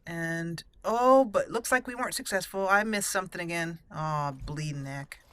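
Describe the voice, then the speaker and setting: A woman's voice: on the low side, moderate pace, fairly bright tone, dry recording. A young woman casually commenting on her game as she plays, chatty and giggly, rambling with fillers. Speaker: let's play host.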